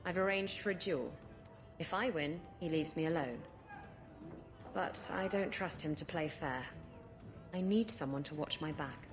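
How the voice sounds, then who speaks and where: A woman's voice: sits low, a little slow, pauses often, over music. An actress playing a flirtatious, dangerous female pirate captain in a video game, with a teasing, sultry, mocking manner. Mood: playful, flirtatious. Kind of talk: dramatic video game acting.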